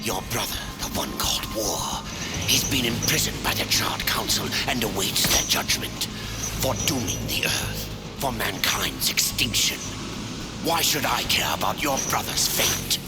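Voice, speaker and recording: Slow, bitter, tormented old keeper of secrets. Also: male voice, fairly low pitch, very animated. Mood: scornful. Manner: slow, ominous, dramatic delivery. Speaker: voice actor. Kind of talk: theatrical fantasy game acting. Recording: loud cinematic music behind. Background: loud music.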